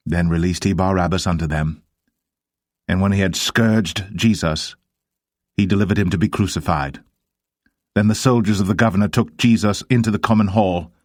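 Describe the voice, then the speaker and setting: A man narrating the Bible as a dramatised reading, in a solemn, measured manner. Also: sits low, no room sound, studio quality.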